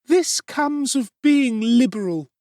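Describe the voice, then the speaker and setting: A man voicing a shrill, indignant middle-aged woman, the undertaker's wife, who exclaims loudly. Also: lively intonation, slow pace, no room sound, studio quality, heavily acted.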